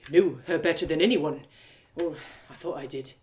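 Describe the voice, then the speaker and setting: A woman's voice: deep voice, moderate pace, a little mellow, close to an everyday voice. Woman acting a heartbroken young village lad, roomy echo. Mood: wistful. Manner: colloquial rustic, confiding, hesitant. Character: heartbroken earnest young village man confiding in stranger. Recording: roomy echo of home live reading.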